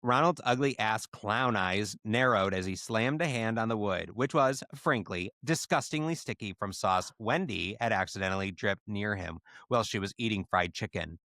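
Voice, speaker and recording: A man's voice: fairly low pitch, normal speed, few pauses, pushed and bright, no echo, clean studio sound. A man co-hosting a podcast, reading comedic fan fiction aloud with theatrical delivery.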